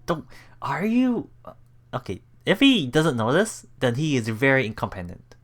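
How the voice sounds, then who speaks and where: A man's voice: medium pitch, very animated, measured pace, a bit bright. A man hosting a let's play, reading visual novel text aloud and adding casual, reactive asides. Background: game music.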